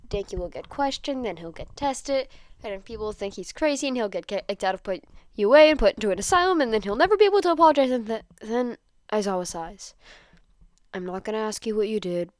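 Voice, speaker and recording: A woman narrating a story in an expressive amateur reading style, now and then stumbling and repeating a word. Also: a little high, quick pace, no room sound, studio quality. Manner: stumbles over words and rereads them. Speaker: podfic narrator.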